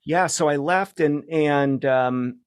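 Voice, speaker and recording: A middle-aged man speaking as a guest in a casual interview, reflective and understated, with halting phrasing. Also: a little high, smooth, no room sound, clean studio sound. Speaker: podcast guest, media professional.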